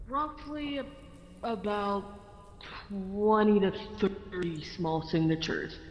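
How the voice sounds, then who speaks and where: A game master narrating an online role-play in a halting, deliberate way. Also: medium pitch, animated. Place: online VRChat voice session. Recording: dull, echoey, reverberant, noisy audio.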